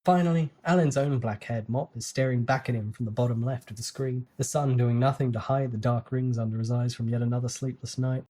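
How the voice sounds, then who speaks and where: Narrator reading a novel out loud, dramatic style, medium pitch. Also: fairly even intonation, fairly quick, few pauses.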